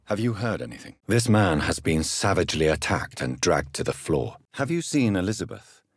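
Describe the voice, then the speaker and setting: Deep husky english gentleman doctor from a video game, polite, grave, kinda probing. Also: big pitch swings, dry recording, studio quality, full character voice. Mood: grave, inquiring. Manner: formal, polite, measured, questioning. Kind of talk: video game character dialogue.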